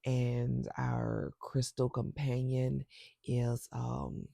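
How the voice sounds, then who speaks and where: A woman with a stuffy nose guiding a spiritual intention ceremony with breathwork, speaking slowly and softly and often dropping to a whisper. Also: very deep voice, level tone, gravelly, no room sound, clean studio sound. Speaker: spiritual circle facilitator.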